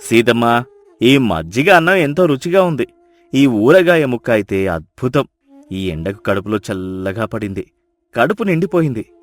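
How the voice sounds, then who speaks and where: A man's voice: mid-range voice, very animated, normal speed, close to an everyday voice. A man playing a well-off, emotional village brother-in-law in a dubbed moral-story cartoon, with earnest, emphatic delivery. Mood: contented. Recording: music behind the voice.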